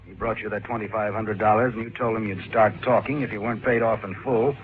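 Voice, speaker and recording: An actor playing a brisk, skeptical male police lieutenant, matter-of-fact and authoritative, in 1940s radio drama style. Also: lowish voice, steady tone, slight background noise, close to an everyday voice. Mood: stern. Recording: tinny old radio recording.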